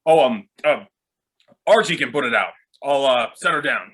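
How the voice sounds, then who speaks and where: Man playing an awkward bright-voiced costumed hero. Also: medium pitch, expressive, normal speed, husky. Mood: earnest, flustered. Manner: hesitant, stammering. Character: awkward, earnest middle-aged male costumed vigilante, shy gadget lover. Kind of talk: fan-made dramatic voice acting over video call.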